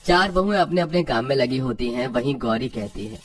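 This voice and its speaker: A man's voice: medium pitch, expressive, a little fast, far from the mic, over music. A man narrates a scripted story in a mid-range voice. He sounds set slightly back from the microphone, telling the tale as its narrator.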